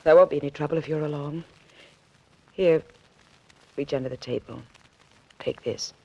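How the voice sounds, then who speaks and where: Cool, anxious femme of vintage radio drama. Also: female voice, low-pitched, moderate pace, gravelly, darkish tone. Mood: pleading, then hushed. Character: anxious, cool, secretive woman being blackmailed, pleading for help. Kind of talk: 1940s radio drama acting. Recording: dull vintage 1940s radio recording, faint music.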